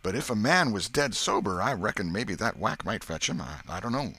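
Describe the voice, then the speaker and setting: A man's voice: fairly low pitch, expressive, a little fast, pushed and bright, slightly rough, voice pushed up, exaggerated voice. A man voicing a worldly, wary village boy in an audiobook, with blunt, slangy, opinionated rustic speech. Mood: reasoning.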